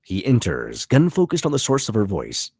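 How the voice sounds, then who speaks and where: A man's voice: fairly low pitch, huge pitch swings, normal speed, a little mellow, husky, no echo, clean studio sound. A man narrating stage directions at a script table read, with vivid, punchy dramatic delivery. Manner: vivid, punchy reading of action.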